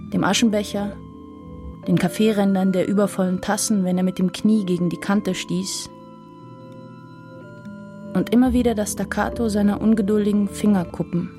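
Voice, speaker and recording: Woman as a measured noir storyteller over music. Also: mid-range voice, natural voice. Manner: measured, descriptive, poetic. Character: cool woman narrator of a noir tale. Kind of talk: literary noir radio drama narration. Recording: music behind the voice.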